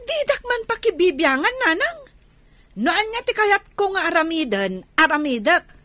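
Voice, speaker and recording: An actress playing a defiant, sulky young adult daughter in a radio drama, with a whiny, insolent way of talking. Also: female voice, very high-pitched, sing-song, moderate pace, bright, forceful tone, dry recording, heavily acted. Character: defiant, sulky young daughter who shirks chores and parties.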